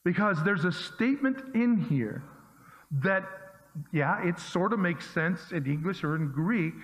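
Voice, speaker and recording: A man's voice: high voice, animated, a little slow, a bit bright. A man preaching a sermon as pastor, talking to his congregation in a conversational, emphatic way and firing off punchy rhetorical questions. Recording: dull lo-fi sound with long hall reverb.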